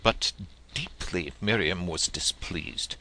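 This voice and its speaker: A man reading literary prose and verse aloud as an audiobook narrator, with theatrical, deliberate phrasing. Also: deep voice, pushed and bright.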